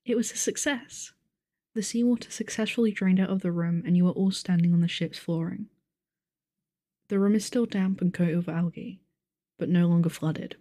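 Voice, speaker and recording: A woman playing a calm game master who narrates a sci-fi tabletop adventure in measured, descriptive speech. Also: fairly low pitch, no echo, studio quality, natural voice. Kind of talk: scripted narration, ASMR audio roleplay.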